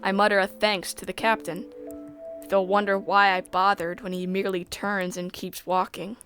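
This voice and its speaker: A woman narrating a sci-fi visual novel in the first person, measured and descriptive. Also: mid-range voice, level tone, fairly bright tone, faint music behind.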